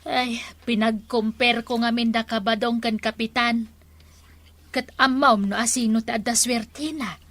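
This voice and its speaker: A woman playing an animated, superstitious village shopkeeper in a radio comedy drama, exclamatory and chatty. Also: fairly high pitch, measured pace. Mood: scheming.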